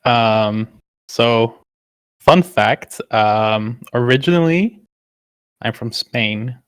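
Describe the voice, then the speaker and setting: A man's voice: medium pitch, drawn-out words, clear, resonant tone, no room sound. A man from the Netherlands answering technical questions in a casual, hesitant way with many uhs. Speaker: tech lead answering questions.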